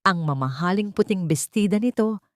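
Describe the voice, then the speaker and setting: A woman's voice: mid-range voice, animated, normal speed, no room sound, studio quality. A woman narrating a dramatic scripted story.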